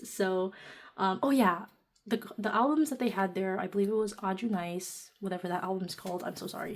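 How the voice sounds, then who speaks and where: Woman, casual vlogger, chatty and giggly, sitting at home, soft-spoken, camera mic. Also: mid-range voice. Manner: chatty, giggly, lots of fillers; talking softly while seated. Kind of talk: casual chatty vlog narration. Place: indoors at home, seated.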